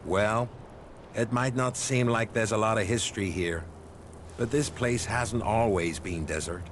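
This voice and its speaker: An actor playing a worldly, wary desert historian in a video game, explaining in a measured way. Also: male voice, fairly low pitch, a little hiss or hum, natural voice. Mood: storytelling, intrigued. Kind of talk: expository game dialogue.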